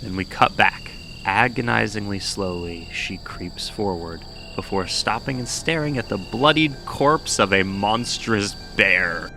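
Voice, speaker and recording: A man's voice: fairly low pitch, creaky, sharp tone, a little hiss or hum. A man hosting scripted horror commentary, with a wry, sarcastic manner and dry asides. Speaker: YouTube host.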